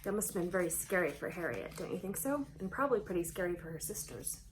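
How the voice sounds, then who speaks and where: A woman's voice: on the low side, quick pace. Woman reading to children, noisy background. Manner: expressive, asks young listeners questions. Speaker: children's storybook reader. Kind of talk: read-aloud storytelling for kids with conversational asides.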